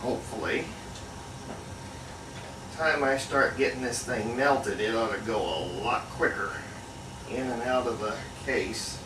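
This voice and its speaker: A man giving a casual hands-on demonstration, unhurried and folksy, thinking aloud as he works. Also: medium pitch, background noise. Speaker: hobbyist restorer and video host.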